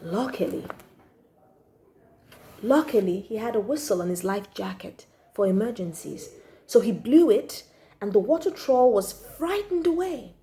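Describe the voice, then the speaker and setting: A woman's voice: medium pitch, lively intonation, measured pace, mellow. A woman reading a children's story aloud expressively, drawing out key words for emphasis.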